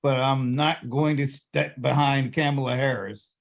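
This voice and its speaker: A man's voice: mid-range voice, level tone, a little slow, vocal fry. A man on a panel show speaking hesitantly, trailing off at times. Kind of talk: casual panel discussion.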